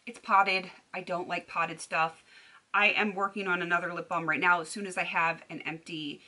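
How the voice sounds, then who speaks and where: A woman's voice: on the low side, little pitch movement, moderate pace, sharp tone. A woman in her thirties chatting to camera at home about makeup, rambling with lots of ums and restarts. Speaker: beauty vlogger. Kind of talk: casual chat to camera. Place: bedroom at home. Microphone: camera at desk distance.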